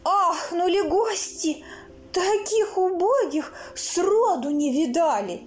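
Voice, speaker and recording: A woman reading her own children's stories aloud in an expressive, theatrical way, acting out sounds and characters. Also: very high voice, takes it slowly. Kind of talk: expressive children's storybook narration. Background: music.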